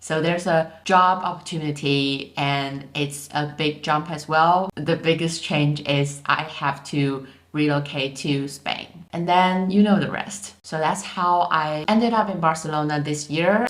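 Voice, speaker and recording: Young woman from Taiwan, low voice, candid chatty vlogger, roomy echo. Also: steady tone, a little slow, few pauses. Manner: chatty, candid, repeats words for emphasis. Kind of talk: casual vlog talk. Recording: echoey room.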